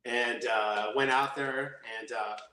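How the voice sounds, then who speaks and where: A man telling stories as a guest actor in a casual interview, rambling and energetic with many restarts. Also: mid-range voice, takes it slowly, slight background noise. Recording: roomy echo.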